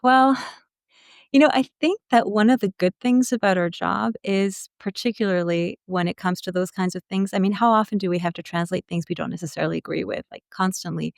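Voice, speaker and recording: A woman's voice: mid-range voice, steady tone, brisk, vocal fry, no echo, studio quality. A woman telling stories casually as an interview guest, candid and chatty.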